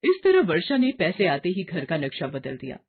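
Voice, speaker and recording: A woman's voice: lowish voice, wildly swooping pitch, normal speed, a little hiss or hum. A woman narrating a moral story from a script, in a muffled recording.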